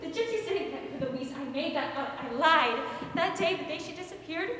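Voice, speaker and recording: A woman's voice: extremely high pitch, expressive, a little fast, fairly bright tone, a little hiss or hum. A young woman playing a disturbed, sinister older aunt in a theatrical, intense 1940s radio drama style. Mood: frantic, confessing. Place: stage of a school theater. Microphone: microphone on a stand. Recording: echoing hall with long reverb.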